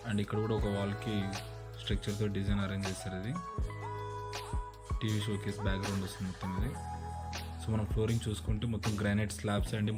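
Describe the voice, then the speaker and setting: A property agent with a Telugu Indian accent talks through a house tour. He is a man with a slightly low, very even voice and explains things matter-of-factly. Prominent music plays behind him throughout. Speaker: property agent presenting a house on his channel. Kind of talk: walkthrough narration of a house tour.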